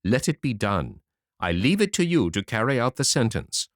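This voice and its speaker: A man's voice: medium pitch, big pitch swings, moderate pace, no echo, studio quality, close to an everyday voice. A man voicing an anxious, indecisive king who talks fretfully. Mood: resigned.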